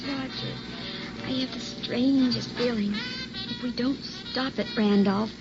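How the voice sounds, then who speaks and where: An anxious young bride. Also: female voice, fairly high pitch, fairly even intonation, a little slow, music in the background. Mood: worried. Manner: anxious, pleading. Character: nervous young newlywed wife. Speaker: actress in radio drama. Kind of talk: 1960s radio acting. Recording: muffled old radio recording.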